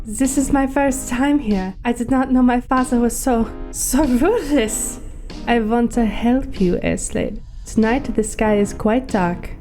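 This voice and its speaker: Woman acting motion comic heroine, young, slightly high voice, soft-hearted, earnest, hesitant, polite. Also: measured pace, faint music behind, close to an everyday voice. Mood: anxious, earnest. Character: gentle, earnest young German woman, general's daughter.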